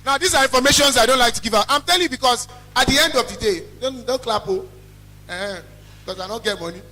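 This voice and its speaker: A young man with a Nigerian accent and a loud, carrying voice, giving an emphatic, blunt motivational talk as a business owner. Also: very high voice, fast talker. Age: young adult. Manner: emphatic, blunt, repeats phrases for effect. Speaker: small chops business owner, guest speaker. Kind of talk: motivational talk to a student audience.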